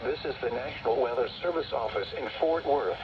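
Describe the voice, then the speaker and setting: A man's voice: mid-range voice, fairly quick. Weather radio announcer, male. Formal automated-style read of a warning test, lo-fi radio with noticeable noise. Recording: muffled, noisy weather radio broadcast.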